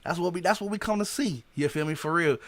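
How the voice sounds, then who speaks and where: A man's voice: a little high, quick pace, a bit bright, no echo. Slangy man hosting a podcast. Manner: laid-back slangy talk, many "you know what I'm saying" fillers. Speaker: podcast host. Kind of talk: casual interview.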